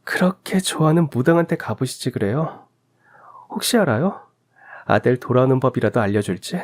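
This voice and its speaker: A man's voice: medium pitch, expressive, a little fast, close to an everyday voice. Man acting a bitter, accusing wronged mother. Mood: bitter sarcasm. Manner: accusing, sarcastic rhetorical questions. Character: wronged mother, bitter, indignant daughter-in-law confronting mother-in-law.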